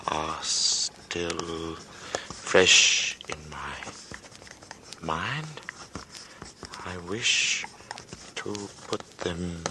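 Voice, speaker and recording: An actor in a 1940s radio drama playing an uneasy thirty-five-year-old artist, telling his strange story slowly and deliberately. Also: low voice, background noise. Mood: grave, haunted. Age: 30s. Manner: slow, halting, deliberate, dramatic. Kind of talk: 1940s radio drama acting, first-person narration. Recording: vintage radio broadcast with music. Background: dramatic music.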